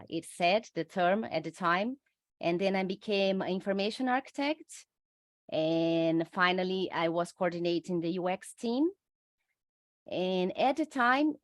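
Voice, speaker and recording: A middle-aged Brazilian woman, a native Portuguese speaker, answering interview questions in English with a Brazilian accent, careful and measured, with frequent hesitations. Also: lowish voice, dry recording, studio quality. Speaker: UX researcher guest.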